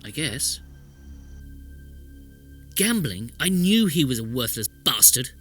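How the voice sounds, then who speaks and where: A man's voice: mid-range voice, very animated, slow, fairly bright tone, faint music behind, heavily acted. A man playing a resentful poor relation of a village lord in a podcast drama, speaking in an aggrieved, emphatic way. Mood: bitter. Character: bitter, resentful brother of a wealthy village lord. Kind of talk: podcast audio drama acting.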